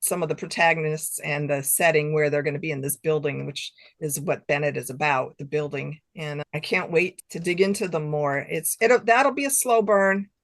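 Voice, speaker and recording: A middle-aged woman talks as a guest author in a casual chat. Her voice sits a little low, in a dry recording, and she thinks aloud informally. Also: normal speed, hardly any pauses, no room sound. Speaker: author being interviewed.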